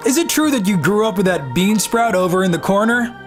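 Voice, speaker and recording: A woman voicing a brash, goading high school classmate in a manga dub. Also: animated, fairly quick, soft background music, natural voice. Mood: teasing. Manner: loud, goading, exclaiming.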